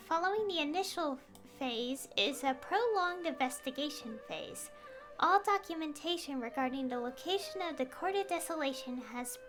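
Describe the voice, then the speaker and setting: A woman voicing an earnest, composed young traveler in an anime-style video game, speaking in a measured, articulate way. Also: sits high. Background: soft game music.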